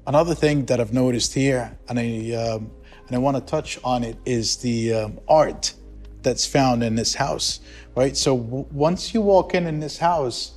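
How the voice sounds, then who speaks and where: Man, medium pitch, conversational interviewer, agreeable prompting manner, light background music. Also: a little slow, a little mellow.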